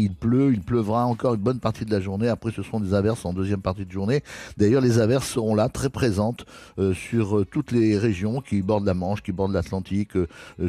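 A man reads the weather on morning radio, stopping often on fillers. His voice is low and mellow, recorded close and dry. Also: dry recording. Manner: hesitant, many fillers. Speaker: weather presenter.

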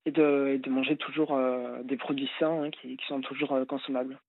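A man in his late twenties calling in to a radio show, speaking haltingly with many fillers and restarts. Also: mid-range voice, little pitch movement, no echo. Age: late 20s. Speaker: caller. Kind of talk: phone-in call. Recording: tinny phone line.

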